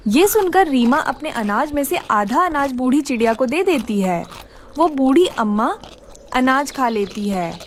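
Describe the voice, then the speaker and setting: Woman narrator for children's tales: high-pitched, very expressive melody, scripted storytelling. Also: measured pace, a little hiss or hum.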